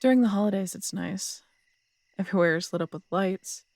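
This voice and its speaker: Creaky-voiced feisty woman detective, acted. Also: medium pitch, a little fast, darkish tone, no room sound, studio quality, close to an everyday voice. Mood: warm, relaxed. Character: feisty teasing woman police detective, warm and proud. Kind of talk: roleplay audio drama, intimate casual dialogue. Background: crickets at night.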